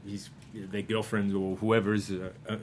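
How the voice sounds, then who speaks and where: A man with an Australian accent, a guest actor chatting casually in an interview, halting with many restarts. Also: lowish voice, level tone, slight background noise.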